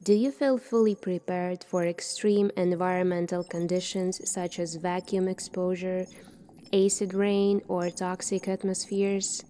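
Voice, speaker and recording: A soft-spoken woman doing an ASMR roleplay as a calm military doctor, asking questions in a measured way. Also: on the low side, slight background noise. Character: calm seasoned future military doctor examining a space recruit.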